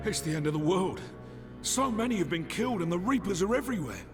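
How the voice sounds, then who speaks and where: High-pitched, terrified townsman. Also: expressive, fast talker, long reverb tail, over music. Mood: panicked. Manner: panicked. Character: terrified townsman pleading and warning. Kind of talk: video game dramatic acting.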